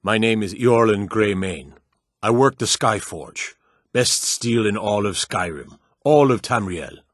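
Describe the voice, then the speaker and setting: Old blacksmith, gruff and proud, low husky voice, measured plain speech, fantasy game, dry close recording. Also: male voice, no echo. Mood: earnest, steady. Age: older. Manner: plain-spoken, measured, weighty. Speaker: video game voice actor. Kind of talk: fantasy game character dialogue.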